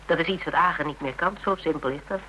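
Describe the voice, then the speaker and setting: A woman playing a practical, worldly character in a radio drama, speaking in a measured, wry, matter-of-fact way. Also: low-pitched, sharp tone, a little hiss or hum, rough recording. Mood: earnest advice. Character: Tera, cool practical worldly woman giving frank advice. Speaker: actor in a radio play. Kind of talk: Dutch radio drama acting, conversational.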